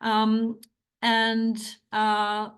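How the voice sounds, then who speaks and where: Woman, French accent, middle-aged scholar, hesitant restarts, higher voice. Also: monotone, very slow, a bit bright, smooth. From France. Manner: earnest, hesitant with frequent restarts. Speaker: academic author. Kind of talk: academic talk and Q&A.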